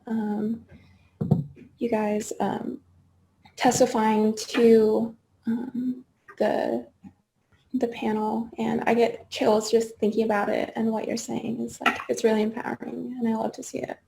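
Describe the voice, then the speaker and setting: Young woman, flat gravelly voice, soft, slow with fillers. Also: on the high side. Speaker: student panelist. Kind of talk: casual panel conversation.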